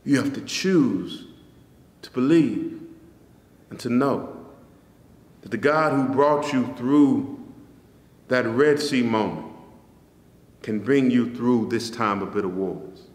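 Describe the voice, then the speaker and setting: Man preaching in an echoey church. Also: medium pitch. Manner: measured preaching, rising on key phrases, dropping soft at line ends. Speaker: pastor. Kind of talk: sermon. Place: church sanctuary. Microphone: stand mic in front, camera at a distance.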